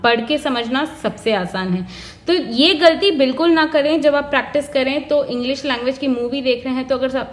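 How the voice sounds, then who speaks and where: High-voiced woman giving interview tips. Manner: earnest, instructive, lists points one by one. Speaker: career advice vlogger. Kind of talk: explanatory advice talk.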